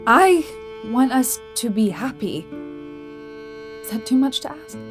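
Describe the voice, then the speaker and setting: A woman playing a tender, hopeful female cat in love, with a gentle, sincere delivery in an audio drama. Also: fairly high pitch, sing-song, slow, music in the background. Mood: let down.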